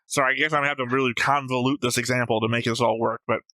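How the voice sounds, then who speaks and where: A man's voice: medium pitch, fairly even intonation, racing, bright, forceful tone, dry recording. A man co-hosting a reaction show, arguing through story theories in an analytical, casual way with some swearing. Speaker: host of an anime reaction show. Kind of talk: casual debate about a show.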